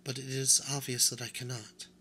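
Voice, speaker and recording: A man voicing a proud, wounded male toy rabbit, speaking softly and with restraint. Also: level tone, normal speed, slight background noise, close to an everyday voice. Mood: proud, weary. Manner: soft, low and restrained.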